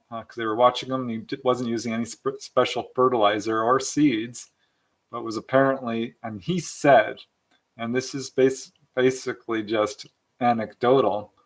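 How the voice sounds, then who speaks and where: A man's voice: medium pitch, steady tone, normal speed. Man hosting a casual live Q&A. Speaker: host reading audience questions.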